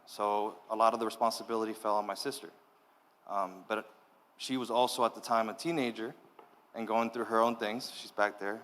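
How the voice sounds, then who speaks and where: A man's voice: on the low side, steady tone, normal speed, a bit bright, in a large hall. A man born in Cuba giving a casual personal testimony to a youth group, often checking in with "all right?". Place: church youth hall.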